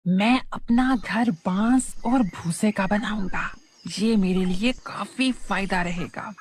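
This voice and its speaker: Slow, stubborn young brother, voiced by a woman. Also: medium pitch, slight background noise, close to an everyday voice. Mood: self-satisfied. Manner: blunt, deliberate. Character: stubborn, self-centred young brother, comfort-loving and dismissive. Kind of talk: children's moral story cartoon.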